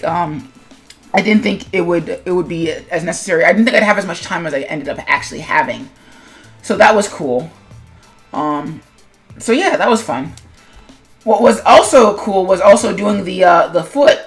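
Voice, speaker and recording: A woman's voice: medium pitch, normal speed, soft background music. A woman talking casually as an animator and video host, in a rambling, self-deprecating, conversational way. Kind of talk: casual commentary vlog on own animation.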